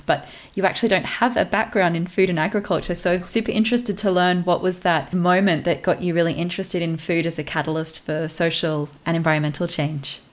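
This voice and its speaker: A woman hosting a podcast, reading a measured scripted introduction and asking interview questions. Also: lowish voice. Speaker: podcast host.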